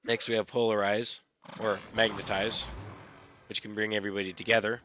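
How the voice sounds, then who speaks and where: A man with a scratchy voice, casually explaining how he plays a game character, with relaxed, repetitive phrasing. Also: on the low side, a little fast. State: recovering from illness. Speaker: gaming channel host. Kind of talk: casual gameplay tutorial.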